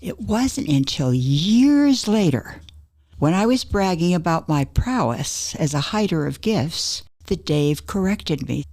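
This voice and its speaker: A woman's voice: on the low side, expressive, slow, darkish tone. An older woman reading her own personal essay aloud as a scripted story.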